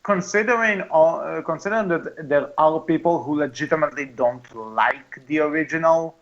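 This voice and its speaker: Guy on a movie podcast, muffled lo-fi audio, opinionated, keeps stumbling and restarting sentences. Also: a little high. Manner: halting, often repeats and restarts phrases. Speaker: podcast co-host. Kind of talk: casual movie discussion with friends.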